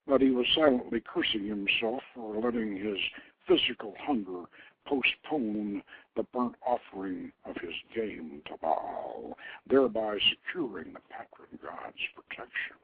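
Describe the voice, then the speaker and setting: A man reading a story aloud in a dramatic, theatrical style with deliberate phrasing, over a tinny phone line. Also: fairly low pitch, very animated, gravelly, a little hiss or hum. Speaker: storyteller, show host.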